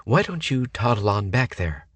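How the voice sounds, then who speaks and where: A man voicing a sharp-tongued, sarcastic young woman with a mocking way of talking. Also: fairly low pitch, sing-song, normal speed, husky, no echo, pitched above the performer's own voice. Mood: sassy. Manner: sassy, mocking.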